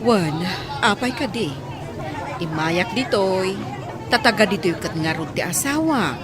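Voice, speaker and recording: A woman's voice: medium pitch, animated, a little slow, a crowd in the background, lightly acted. A woman playing a guarded, flustered middle-aged village mother in a radio drama. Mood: puzzled, guarded.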